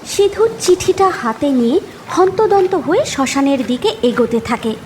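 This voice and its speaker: A woman's voice: high voice, expressive, moderate pace, darkish tone, a little hiss or hum. A woman narrating a cartoon horror story in a dramatic, scripted storytelling style.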